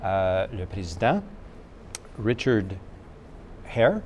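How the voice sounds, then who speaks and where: A man's voice: lowish voice, big pitch swings, drawn-out words, a little mellow, slight background noise. A man co-hosting a casual TV talk show, jovial, laughing at his own stumbles and using many fillers. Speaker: co-host.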